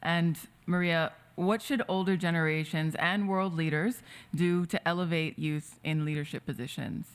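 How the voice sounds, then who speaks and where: A woman's voice: fairly low pitch, fairly even intonation, normal speed, hardly any pauses, smooth. A woman moderating a summit panel in a friendly, upbeat way, in a conference room.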